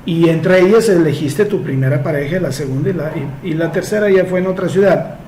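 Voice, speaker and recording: A man's voice: a little high, a little fast, mellow. Man, advice host, explanatory, echoey room, faint background noise. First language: Italian. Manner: explanatory, uses homely comparisons. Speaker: host answering listener questions. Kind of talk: advice talk reading a letter and answering.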